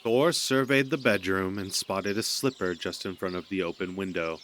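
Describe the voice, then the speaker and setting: A man's voice: sits low, normal speed, fairly bright tone. A man narrating a scripted audio drama as a storyteller. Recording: noticeable background noise.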